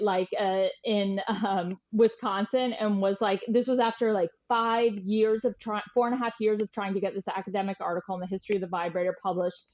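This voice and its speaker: A middle-aged woman in a casual interview, talking in a medium-pitched voice. She is chatty and candid, telling anecdotes with a self-deprecating streak. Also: a little mellow. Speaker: guest journalist and writer.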